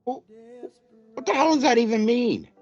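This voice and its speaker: A man's voice: very high voice, animated, normal speed, dull audio, soft background music. A man acting in a comedic audio play as a wheedling, easily exasperated city wrestling promoter who stammers. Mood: baffled.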